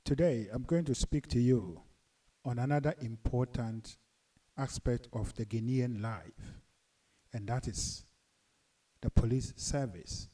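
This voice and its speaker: A man's voice: medium pitch, dark tone, far from the mic, low-quality recording. A middle-aged man from Ghana with a Ghanaian accent, giving an earnest, emphatic lecture to his channel's viewers. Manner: earnest, deliberate, emphatic, rising on key points. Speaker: channel host and commentator. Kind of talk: lecturing monologue.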